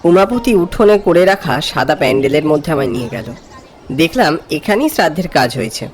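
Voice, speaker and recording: A man's voice: fairly high pitch, sing-song, a little fast, crowd noise. An actor playing a curious, polite male office junior who narrates his own horror story. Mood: calm storytelling. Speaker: narrator and character. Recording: music behind the voice. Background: background music.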